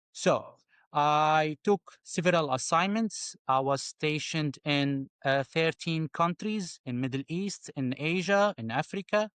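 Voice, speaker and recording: Syrian guy, smooth clear voice, dry close sound, kinda halting, repeats words. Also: medium pitch, fairly bright tone, no room sound. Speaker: guest. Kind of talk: interview answers.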